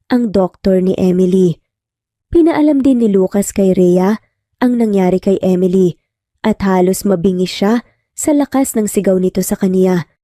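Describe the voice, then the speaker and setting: A woman's voice: mid-range voice, dark tone, no room sound, studio quality. A woman narrating a scripted romance story as a storyteller.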